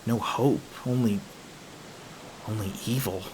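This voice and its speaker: A man playing an edgy, protective young hunter in an audio roleplay, earnest, urgent and halting. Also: on the low side, noisy background. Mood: shaken. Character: edgy, anxious young male hunter, protective and foul-mouthed.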